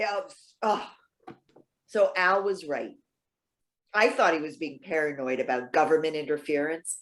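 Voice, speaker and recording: A woman playing a lonely, proud elderly lady in a stage reading, halting and questioning with wounded politeness. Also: deep voice, close to an everyday voice. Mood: flustered. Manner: halting, stammering, emphatic questioning. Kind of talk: readers theater stage acting.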